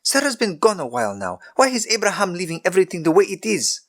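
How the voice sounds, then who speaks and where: A man's voice: sits high, huge pitch swings, fast, pitched above the performer's own voice, heavily acted. A man voicing an agitated young boy complaining with resentment. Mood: resentful.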